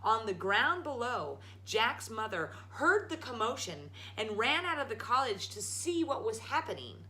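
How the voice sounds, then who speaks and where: A woman storyteller reading a children's story aloud in a dramatic, emphatic, expressive way. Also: on the high side, unhurried, hardly any pauses. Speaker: storyteller, show host.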